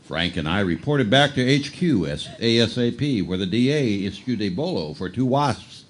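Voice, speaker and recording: A man playing a deadpan police detective in a live old-time radio drama parody, narrating his case in clipped procedural lines. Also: fairly low pitch, moderate pace, close to an everyday voice. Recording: noisy live shipboard stage performance.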